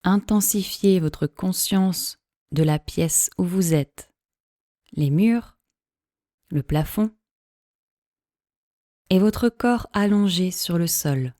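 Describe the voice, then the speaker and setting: A woman teaching yoga nidra, giving calm, measured guided-meditation instructions in a soothing way with long pauses. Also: lowish voice, dry recording, clean studio sound.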